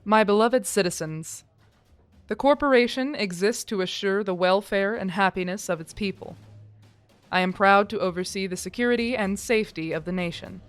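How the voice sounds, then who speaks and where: A woman's voice: mid-range voice, normal speed, clear, resonant tone, soft background music, lightly acted. A woman playing a self-important lieutenant general, giving a formal, declamatory broadcast address in an audio drama. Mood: proud, official.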